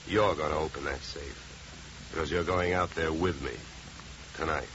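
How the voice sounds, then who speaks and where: A man's voice: rumbling low, fairly even intonation, a little slow, lo-fi sound, heavy background noise. An American man acting a tough, wry, wary insurance investigator in a 1950s radio detective drama, with a clipped sardonic delivery on an old recording. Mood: cool, hard bargaining. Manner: clipped, sardonic, hard-boiled. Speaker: actor playing the lead investigator.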